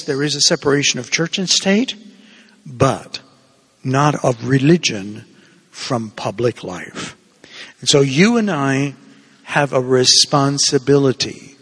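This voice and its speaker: A man from Cuba preaching a homily in an unhurried, meandering way, with a gravelly, husky voice. His words ring out with a long echo through the church. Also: medium pitch, a bit bright. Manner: conversational, digressive preaching with asides.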